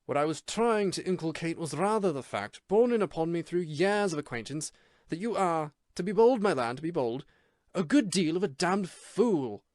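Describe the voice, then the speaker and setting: A man's voice: fairly high pitch, lively intonation, moderate pace, darkish tone, no room sound, clean studio sound, voice pushed up, close to an everyday voice. A man doing a teasing Irishman with rolled R's. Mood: mock-patient. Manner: rolled R's, mock-patient. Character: teasing Irish man, the narrator's old friend.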